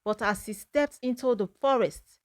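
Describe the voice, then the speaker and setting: A woman storyteller narrating a scripted folktale in a dramatic, measured manner. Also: fairly high pitch, no echo, studio quality.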